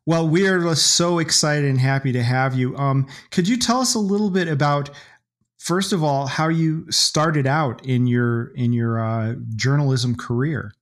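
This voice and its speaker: A man hosting a podcast interview, introducing his guest warmly with a few ums. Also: medium pitch, a little slow. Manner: friendly introductions, some hesitation fillers. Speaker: podcast host.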